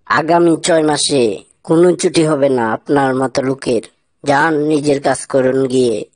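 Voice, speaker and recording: A man's voice: mid-range voice, a little slow, a little mellow, no echo, studio quality, close to an everyday voice. A man with a Bangladeshi accent voicing a grumpy, baffled man in a comic cartoon skit, with blunt, questioning delivery. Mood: stern, baffled. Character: grumpy, baffled man, stern boss and troubled friend.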